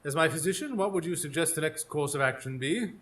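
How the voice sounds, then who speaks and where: A man's voice: fairly high pitch, animated, fast talker, a bit bright. A man playing a sceptical, exasperated Victorian detective in a radio drama, speaking formally and dryly with probing questions. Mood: grave. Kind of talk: live radio drama acting.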